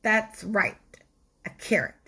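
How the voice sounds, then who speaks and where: A woman reading a storybook aloud in an expressive children's narration style, with deliberate dramatic pauses. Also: mid-range voice, slightly rough. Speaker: storybook reader.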